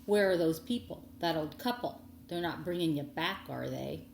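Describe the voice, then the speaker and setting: A woman's voice: low voice, normal speed, gravelly, reverberant, slight background noise. A woman voicing a smug, nosy, greedy man, a storybook villain who is drawling, falsely polite and insinuating. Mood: sneering.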